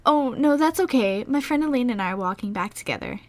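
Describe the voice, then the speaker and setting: A woman's voice: fairly high pitch, expressive, a little fast, a little mellow, close to an everyday voice. A woman playing a shy, melancholy teenage girl in an amateur audio drama, bashful and polite. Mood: polite, reluctant.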